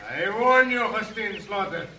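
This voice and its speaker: A man's voice: high-pitched, expressive, measured pace, pushed and bright, heavily acted. A man playing a proud, threatening young Apache warrior with stilted, formal speech in a 1950s radio western. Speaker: actor in a western radio drama. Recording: distant, noisy old radio recording.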